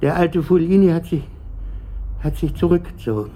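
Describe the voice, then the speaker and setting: An actor playing a bitter, uneasy middle-aged company director answering questions haltingly in a German radio play. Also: male voice, a little high, lively intonation, mellow. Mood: resigned. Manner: hesitant, evasive, halting.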